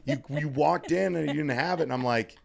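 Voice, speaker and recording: A man co-hosting a podcast in casual chat, his voice scratchy and hoarse from allergies, upbeat and joking with lots of fillers. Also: a little high, fairly quick. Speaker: podcast co-host. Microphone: studio microphone.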